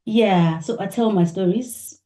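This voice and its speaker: A woman musician from Nigeria answering interview questions, soft-spoken and thoughtful, laughing often. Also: medium pitch, measured pace. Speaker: musician guest. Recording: echoey room.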